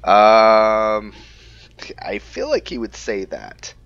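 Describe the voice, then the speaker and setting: Male gaming host, deep voice, casual rambling commentary, lo-fi sound over faint music. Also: big pitch swings, very slow, dry recording. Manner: rambling, thinking aloud. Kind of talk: casual roleplay game commentary. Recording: dull lo-fi mic under music. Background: game music.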